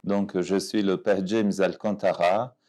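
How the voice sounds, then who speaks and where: A man from Brazil, a parish priest speaking French with a Brazilian Portuguese accent, giving a calm, reflective personal testimony in an interview, often restarting his phrases. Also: low voice, measured pace.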